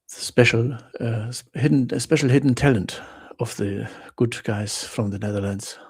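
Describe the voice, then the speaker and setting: A German man with a German accent hosting a casual live question-and-answer stream, rambling with halting restarts and fillers. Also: medium pitch, slightly rough. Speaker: livestream host answering viewer questions.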